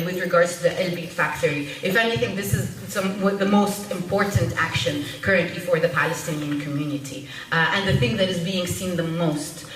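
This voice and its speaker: A woman giving an earnest, urgent public talk as a panel speaker, often pausing to find her words. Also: on the low side, fairly bright tone. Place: public meeting room at a panel event. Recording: echoey room from far off, faint noise.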